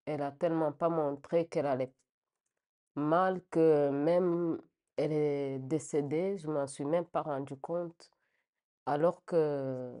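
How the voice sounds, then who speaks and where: Woman, middle-aged, deep mellow register, hesitant reflective delivery, unscripted personal testimony. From Rwanda. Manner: hesitant, halting, reflective phrasing with repeated words. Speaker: interviewee giving a personal testimony.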